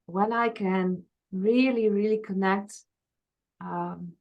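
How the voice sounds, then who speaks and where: Rich A Dutch-accented woman of middle pitch from Friesland speaks earnestly and openly, at times laughing at herself. She is a therapist talking as an interview guest. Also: steady tone, slow pace, dull audio. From Friesland, Netherlands. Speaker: guest, past life regression therapist. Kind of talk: reflective conversational interview.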